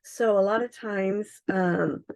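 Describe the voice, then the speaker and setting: A middle-aged woman with a hoarse, froggy voice, chatting casually as she demonstrates craft supplies on a livestream, with many ums and uhs. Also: mid-range voice, fairly even intonation, slow pace, a little mellow. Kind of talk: casual live product demonstration.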